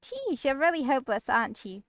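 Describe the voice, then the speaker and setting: A woman's voice: high voice, big pitch swings, a little fast, no room sound, voice pushed up. A woman voicing a cheerful, pushy young female coworker in a visual novel, coaxing and sweetly teasing. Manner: bubbly, coaxing. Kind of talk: visual novel character acting.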